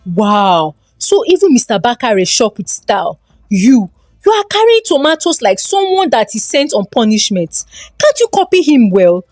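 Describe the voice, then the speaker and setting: A woman's voice: a little high, big pitch swings, normal speed, darkish tone, no room sound, clean studio sound. A woman voicing a scornful, nagging wife in a folktale, with a Nigerian accent and a sarcastic, scolding way of talking.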